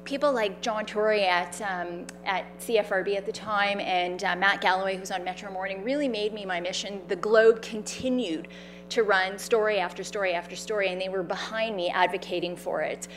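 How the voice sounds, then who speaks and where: A woman tells her own story at an event, in an animated, conversational way. She has a loud voice and acts out the people in her story. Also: medium pitch, moderate pace, slight background noise. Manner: animated, conversational, with fillers and quoted dialogue. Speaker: speaker sharing her story. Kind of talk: personal storytelling talk. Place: event stage.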